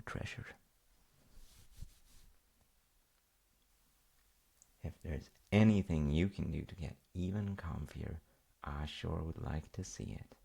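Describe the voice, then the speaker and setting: A man playing an affectionate dad in a bedtime audio roleplay, speaking softly and hesitantly as he soothes his child. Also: a bass voice, gravelly, husky, full character voice. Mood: cosy, soothing. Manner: soft, hesitant, murmuring.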